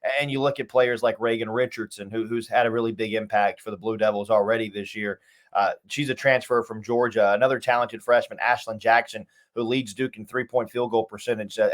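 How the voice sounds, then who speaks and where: A man's voice: on the low side, steady tone, fast talker. A man speaking as a sports announcer and interview guest, talking conversationally and at length, repeating and listing points for emphasis.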